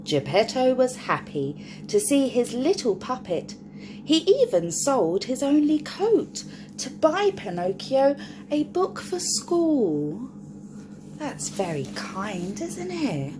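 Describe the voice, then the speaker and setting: Lady reading a kids' picture book, bouncy singsong voice, slow and careful, some noise in the background. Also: sits high. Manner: animated, sing-song, asks the listener questions. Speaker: storyteller for children.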